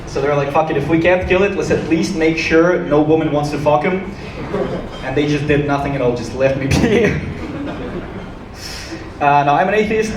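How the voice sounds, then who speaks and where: A man from Ukraine performing stand-up comedy to a live audience with a dry, joking delivery and hesitant pauses. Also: fairly high pitch. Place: small comedy club stage, live audience. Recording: roomy, noisy club recording from a distance.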